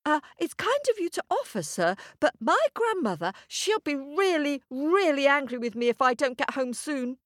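A woman's voice: high voice, very animated, normal speed, few pauses, no room sound, pitched above the performer's own voice. A nervous young boy, polite but hesitant, with a raised, light voice, as a woman storyteller puts him on. The sound is close and dry. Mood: politely nervous.

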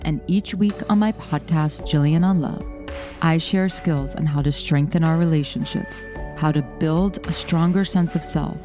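Low, mellow-voiced woman promoting a podcast. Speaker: podcast host. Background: soft music.

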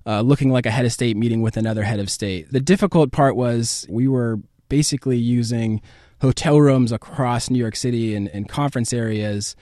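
A man's voice: mid-range voice, brisk, warm tone, dry recording, studio quality. A man with an American accent giving conversational answers as an interview guest. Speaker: interview guest, political campaign advance man.

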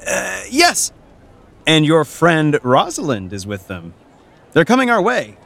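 A man's voice: fairly high pitch, wildly swooping pitch, slow pace, gravelly, a bit bright, exaggerated voice. A man playing an anxious young lookout in an audio drama. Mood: uneasy.

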